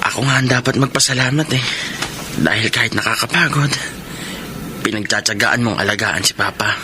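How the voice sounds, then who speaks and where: An actor playing a sly, lecherous adult son in a Tagalog radio melodrama, with an insinuating manner. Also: male voice, mid-range voice, brisk, noisy background, close to an everyday voice. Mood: ingratiating.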